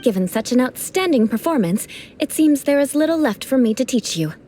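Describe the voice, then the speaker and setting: A woman's voice: high-pitched, lively intonation, fairly quick, mellow, slight background noise. A woman voicing a proud, formal young noblewoman knight who mentors with dry, teasing remarks in a video game. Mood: cool. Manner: formal, haughty, dryly teasing. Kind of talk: game cutscene voice acting.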